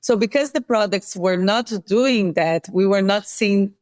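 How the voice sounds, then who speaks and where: A woman's voice: mid-range voice, darkish tone, no echo, studio quality. Brazilian-accented woman explaining science. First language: Portuguese. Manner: measured, explanatory, with self-corrections. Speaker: guest scientist. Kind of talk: interview explanation.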